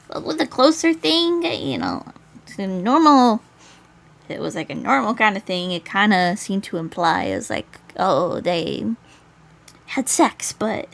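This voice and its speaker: A woman's voice: medium pitch, wildly swooping pitch, slow pace, creaky, long pauses. Woman streamer, casual and hesitant. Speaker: game streamer reading a visual novel aloud. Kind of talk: visual novel read-aloud with casual commentary.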